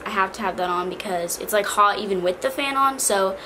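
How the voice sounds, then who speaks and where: Chatty teen girl, slightly hoarse. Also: medium pitch, fairly quick, sharp tone, poor audio. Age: teens. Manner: chatty, bubbly, lots of "like". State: slightly hoarse from cheer camp. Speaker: vlogger. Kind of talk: casual backpack vlog. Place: bedroom, fan running. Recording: faint fan noise behind.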